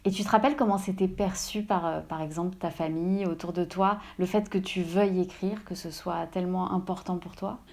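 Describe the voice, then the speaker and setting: A woman interviewer with a fairly low voice asks a writer soft, curious questions. Her tone stays quiet and gently probing. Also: steady tone, fairly quick, hardly any pauses.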